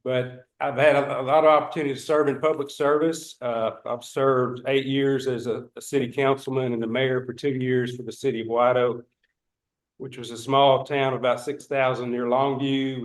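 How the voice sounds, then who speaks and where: A man's voice: mid-range voice, level tone, moderate pace, echoey room. Folksy man with a Northeast Texas accent. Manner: folksy, plainspoken, dry humor. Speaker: HOA board candidate. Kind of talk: candidate self-introduction at a public meeting. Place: community meeting room.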